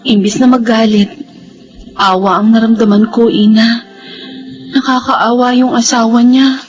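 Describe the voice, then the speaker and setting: Casting: woman as heartbroken young Filipina mother, high tender voice, radio drama with music bed. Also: fairly even intonation, measured pace, lightly acted. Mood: sorrowful. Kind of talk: Filipino radio drama acting with first-person narration.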